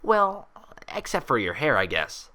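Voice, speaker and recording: A woman's voice: rumbling low, very animated, measured pace, sharp tone, voice pushed up, heavily acted. A woman voicing a hesitant, polite young female unicorn who sounds careful and anxious. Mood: relieved.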